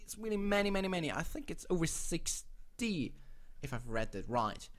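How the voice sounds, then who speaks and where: A man's voice: a little high, sing-song, normal speed. Rambling Swiss man hosting casually. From Switzerland. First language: a language other than English. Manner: rambling, self-correcting, playful. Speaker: host of a video channel. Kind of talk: casual commentary on game crowdfunding projects.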